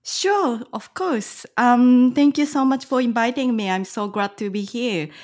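A woman from Japan whose first language is Japanese, answering interview questions as a tour guide in careful, measured speech with hesitant pauses. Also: fairly high pitch. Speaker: guest, licensed tour guide.

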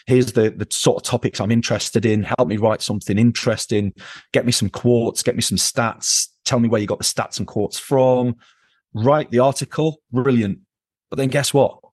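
A man's voice: mid-range voice, fairly even intonation, moderate pace, darkish tone, slightly rough, dry recording. A man speaking as a podcast guest, emphatic and repeating words for stress in a conversational interview. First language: English.

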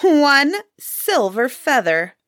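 A woman's voice: high voice, sing-song, extremely slow, a bit bright, no echo, clean studio sound, pitched above the performer's own voice, exaggerated voice. A woman voicing a petulant, self-pitying peasant wife who scolds and complains in a storybook. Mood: scornful.

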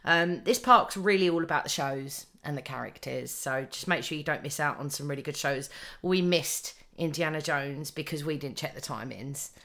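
A woman's voice: deep voice, normal speed, few pauses, a bit bright. A woman hosting a podcast in a casual, chatty way. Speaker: podcast host.

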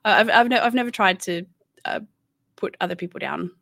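A woman's voice: mid-range voice, brisk, gravelly, fairly bright tone, no room sound, studio quality. An Australian woman in her thirties, a guest chatting casually and candidly, rambling with many restarts. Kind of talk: casual interview chat.

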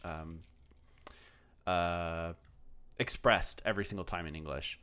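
A man's voice: sits low, fairly even intonation, measured pace, bright, forceful tone, smooth. Man hosting a gaming podcast, rambling. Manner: rambling, many fillers and restarts; casual swearing. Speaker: podcast host. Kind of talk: casual chat, reading listener emails.

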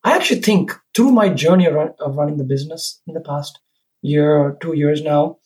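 A man's voice: a little high, a little fast, a little mellow. A man from Sri Lanka, a guest and business owner, talking reflectively on a podcast, often checking in with "right?". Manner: reflective, rambling, tags with "right?". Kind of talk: casual conversation.

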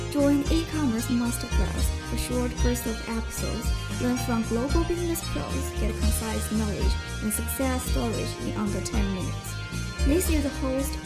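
A woman hosting a podcast, reading scripted introductions and questions in a formal, presentational way. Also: on the high side. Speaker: podcast host.

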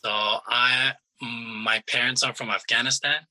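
A young man in his twenties from Iran, a soccer player answering interview questions in a relaxed, halting way with restarts. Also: medium pitch, poor audio.

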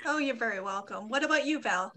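A woman's voice: fairly high pitch, expressive, fast, pushed and bright. Chatty Boston woman hosting a podcast. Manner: chatty, upbeat, encouraging. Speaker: podcast host. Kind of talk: casual interview chat.

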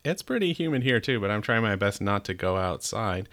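A man's voice: on the low side, big pitch swings, fairly quick, a bit bright. A middle-aged man hosting a podcast in a chatty, upbeat way, with the odd restart. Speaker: podcast host. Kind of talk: casual chat.

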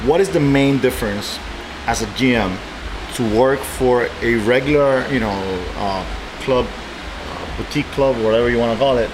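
A man's voice: mid-range voice, moderate pace, background noise. A man co-hosting a podcast, putting questions and remarks in a casual, conversational way. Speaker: podcast co-host.

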